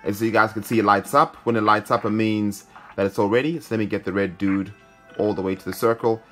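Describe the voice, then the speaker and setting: A man's voice: fairly low pitch, steady tone, quick pace, bright, forceful tone, faint music behind. A man hosting a gaming video, giving casual commentary as he plays. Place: indoor room, filming a handheld phone.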